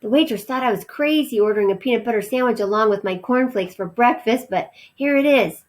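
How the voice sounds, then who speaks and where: A woman's voice: on the high side, brisk, pitched above the performer's own voice, close to an everyday voice. A woman voicing a friendly young boy in a storybook, speaking in a casual manner.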